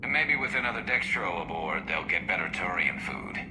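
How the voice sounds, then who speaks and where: Game role: very low, dry, friendly turian squadmate, flanged alien voice, roomy sound with background noise. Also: male voice, lively intonation, moderate pace. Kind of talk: video game dialogue. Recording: roomy, clearly noisy game ambience.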